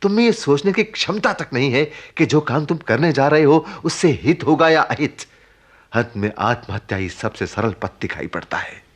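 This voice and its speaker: Husky, earnest middle-aged uncle played by a man. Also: medium pitch, animated, natural voice. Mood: stern, reproving. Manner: emphatic, deliberate, preaching with repeated phrases. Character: grieving, principled uncle, stern and morally earnest. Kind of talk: broadcast radio drama acting.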